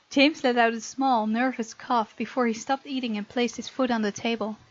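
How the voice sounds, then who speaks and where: A woman's voice: fairly high pitch, steady tone, unhurried, lo-fi sound. A woman from the Netherlands, reading a visual novel aloud as let's play narration with casual asides.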